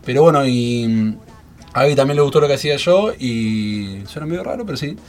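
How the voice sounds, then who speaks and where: A man's voice: medium pitch, lively intonation, fairly quick, a little mellow. A man in his thirties with a Rioplatense Argentine accent, a stand-up comedian chatting casually as a guest, laughing often and rambling with fillers. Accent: Rioplatense Spanish. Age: 30s. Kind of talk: casual radio chat.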